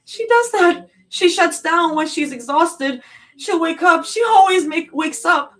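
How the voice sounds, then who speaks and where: A woman's voice: lively intonation, measured pace, fairly bright tone, heavily acted. A sobbing, panicking young woman. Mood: sobbing panic. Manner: high, cracking, tearful pleading.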